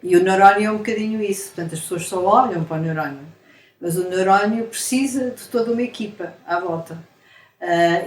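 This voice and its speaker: Portuguese woman explaining in a roomy echo. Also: medium pitch. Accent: European Portuguese. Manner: explains with analogies, frequent tag questions. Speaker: interviewed scientist. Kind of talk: explanatory interview talk. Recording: echoey room, faint noise.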